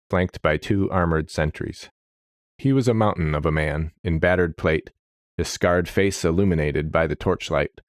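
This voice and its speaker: Male fantasy narrator: deep register, dramatic storytelling, dry close-mic sound. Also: no room sound.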